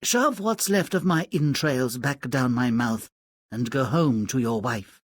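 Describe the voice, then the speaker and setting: An actor voicing a wounded, long-suffering magical fish with a sarcastic temper and raspy voice in a fairy-tale game. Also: male voice, medium pitch, a little slow, dry recording, clean studio sound, lightly acted. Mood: sarcastic, exasperated.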